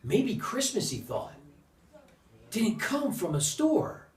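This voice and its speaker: A man reading a rhyming children's story aloud in a theatrical way, with dramatic pauses. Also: high-pitched, very animated. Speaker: storybook reader. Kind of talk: expressive rhyming storybook narration. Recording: roomy echo, distant microphone.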